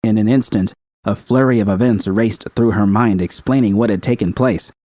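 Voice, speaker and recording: Deep, mellow male narrator. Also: normal speed, no echo. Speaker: audiobook narrator. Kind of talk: scripted fiction narration.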